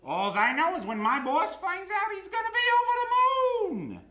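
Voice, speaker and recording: A woman's voice: very high-pitched, wildly swooping pitch, normal speed, a crowd in the background, heavily acted. A woman playing a brash, wisecracking male carnival worker in live radio comedy theatre, talking in streetwise slang. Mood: sly, gossipy. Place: stage before a live audience. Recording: roomy live stage sound.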